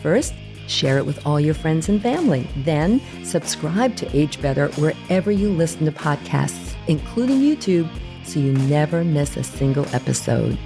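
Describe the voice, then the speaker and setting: A woman's voice: deep voice, animated, normal speed, music in the background. A middle-aged woman hosting an interview podcast in a conversational, effusive and encouraging manner. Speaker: podcast host.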